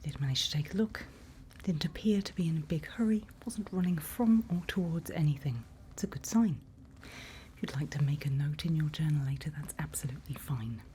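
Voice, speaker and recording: A woman playing a calm, reassuring monster-hunting guide in a whispered ASMR roleplay, speaking in a hushed, patient, breathy way. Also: low-pitched, a little fast, a little hiss or hum, close to an everyday voice. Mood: calm, instructive. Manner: hushed, patient, instructive, soothing.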